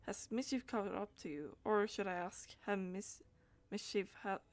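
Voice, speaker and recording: A woman game streamer with a mid-pitched voice, talking through her play and reading dialogue aloud. Her delivery is halting, with stumbles and repeated words.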